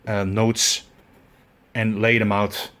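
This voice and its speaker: A man with a Belgian accent giving a hands-on tutorial, talking in halting steps with many fillers as he searches for words. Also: fairly low pitch, flat delivery, fairly bright tone. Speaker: tutorial presenter. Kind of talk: instructional demonstration.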